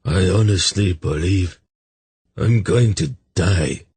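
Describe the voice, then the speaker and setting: A man's voice: low-pitched, lively intonation, takes it slowly, breathy edge, no room sound, exaggerated voice. A man voicing a miserable, self-pitying hungover man of royal rank in a storybook, plaintive and moaning weakly. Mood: suffering, plaintive.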